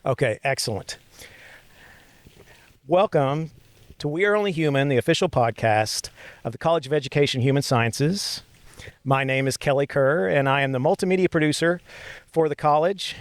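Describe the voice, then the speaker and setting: A man's voice: fairly high pitch, normal speed, long pauses, no echo. Encouraging male podcast interviewer, dry sound. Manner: encouraging, warm affirmations. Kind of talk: friendly interview.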